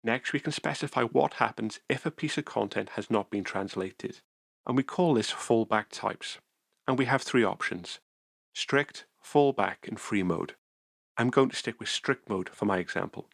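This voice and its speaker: A man calmly narrating a software tutorial screencast, explaining each step methodically. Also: fairly low pitch, normal speed, creaky, no echo, clean studio sound.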